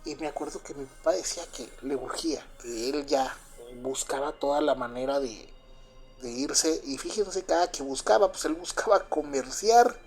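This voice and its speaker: A Cuban-born man with a Mexican Spanish accent who was raised in Mexico, telling a first-person story in a halting, confiding way full of fillers and restarts. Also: a little high, a little mellow, faint music behind. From Cuba, raised in Mexico. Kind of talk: first-person spoken recollection.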